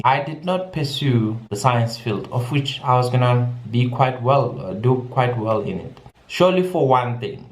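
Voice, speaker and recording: A young man from South Africa chatting casually in a personal vlog, often repeating words as he goes. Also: medium pitch. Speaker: vlogger. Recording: echoey room with music behind.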